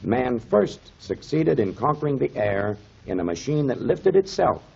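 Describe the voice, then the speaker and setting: A man's voice: medium pitch, very animated, moderate pace, vocal fry, a little mellow, slightly rough, exaggerated voice. An actor playing a concerned, hearty man in a 1930s radio serial, speaking in an emphatic, dramatic way. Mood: awed, emphatic. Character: concerned, hearty man, protective dog owner. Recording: dull, muffled 1930s radio recording.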